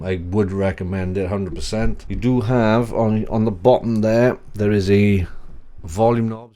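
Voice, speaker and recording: A man in his thirties with a bassy voice, giving a rambling, off-the-cuff gear review in a small home office, speaking into a desk mic on a boom arm. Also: mellow. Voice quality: deep, bassy voice. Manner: rambling, self-correcting, casual. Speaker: tech reviewer. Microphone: desk USB mic on boom arm.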